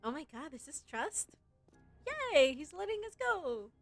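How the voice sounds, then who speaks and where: Woman, higher voice, playful game streamer, casual chat and story read-aloud, light music underneath. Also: very animated, measured pace, no room sound. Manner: playful, giggly fan commentary.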